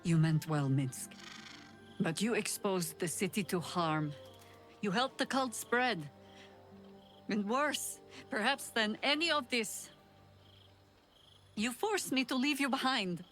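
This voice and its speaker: A woman's voice: mid-range voice, lively intonation, slow, long pauses, a bit bright. An actress playing a stern, weary, middle-aged adventurer in a fantasy video game, dry and scolding in her delivery. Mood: grave, reproachful. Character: stern, weary, exasperated veteran female adventurer. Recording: music behind the voice.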